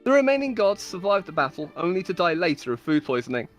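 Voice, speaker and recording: A woman's voice: on the low side, big pitch swings, quick pace, faint music behind. A woman storyteller giving a dramatic, mock-epic reading of comic fantasy stories, with amused asides. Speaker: storyteller on a game server.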